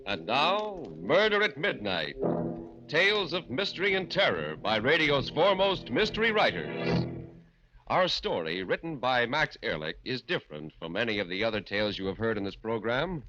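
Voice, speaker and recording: An American man narrating and announcing a 1940s radio horror show in a solemn, dramatic, measured manner. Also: medium pitch, very animated, music in the background. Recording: dull vintage radio recording.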